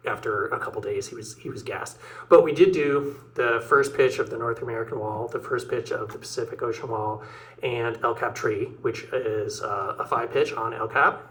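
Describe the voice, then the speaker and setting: A man's voice: medium pitch, pushed and bright. A man hosting his own climbing video, chatting casually and rambling through an anecdote with a laugh. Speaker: show host introducing episode. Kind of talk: casual chat.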